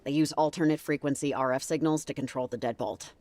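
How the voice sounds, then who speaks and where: A woman's voice: very deep voice, quick pace, a little mellow, a little hiss or hum. An actress playing a wry, skeptical young woman in a video game, speaking in a naturalistic, understated, conversational way. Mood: curious, focused. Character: dry-witted, skeptical young woman exploring a cult's hideout. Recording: music behind the voice.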